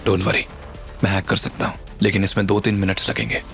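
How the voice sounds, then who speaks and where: Man acting a calm, guarded mentor. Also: deep voice, faint music behind, voice pushed down. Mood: reassuring. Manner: measured, earnest delivery. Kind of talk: audio drama dialogue.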